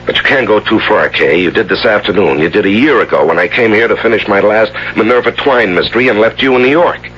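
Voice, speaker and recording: A man's voice: lowish voice, fast, hardly any pauses, a bit bright, close to an everyday voice. An actor with an American accent playing an exasperated, cautious, jealous husband in his forties in a 1970s radio drama. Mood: weary, concerned. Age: 40s. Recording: dull, noisy old radio broadcast.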